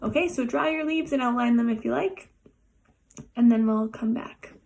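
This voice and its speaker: A young woman with a raspy, hoarse voice and a cough, guiding an online art therapy lesson at home in a relaxed way with many pauses. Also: a little high, a little hiss or hum. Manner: relaxed, many ums, gentle instructions.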